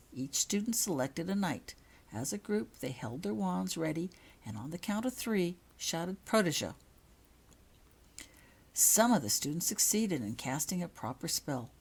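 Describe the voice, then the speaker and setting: A woman reads a storybook-style tale aloud. Her voice is low for a woman and has a husky edge. It is scripted narration, told by the author. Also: darkish tone. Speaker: author reading own fan fiction.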